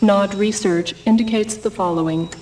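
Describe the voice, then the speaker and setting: A woman's voice: medium pitch, moderate pace, a little mellow, lightly acted. A woman voicing an impersonal military research announcer in a game, reading in a formal, clinical, detached way. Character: impersonal female military computer narrator giving research reports. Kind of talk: scripted game briefing narration. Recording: distant, noisy game transmission audio.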